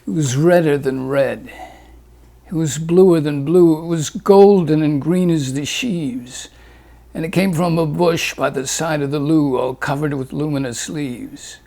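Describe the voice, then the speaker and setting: Man, storyteller, rhyming fable, lilting rhythm, unhurried, gravelly, slightly high voice. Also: mellow. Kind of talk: reading a rhyming fable aloud.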